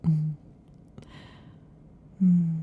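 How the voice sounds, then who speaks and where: A woman voicing a possessive, overheated female lamia in an ASMR roleplay, whispering softly and teasingly into a close mic. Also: deep voice, level tone, very slow, narrow, tinny audio, a little hiss or hum. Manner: soft intimate whispering, teasing. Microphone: close sensitive mic.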